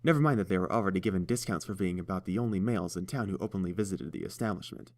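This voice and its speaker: A man reading a fan story aloud with a very low voice. His delivery is steady and even, paced like an audiobook. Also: racing. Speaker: narrator.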